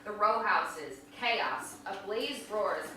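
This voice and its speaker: A woman's voice: medium pitch, animated, slow, pushed and bright. A woman reading stage directions as dramatic scripted narration. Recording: echoey hall from far off, faint noise.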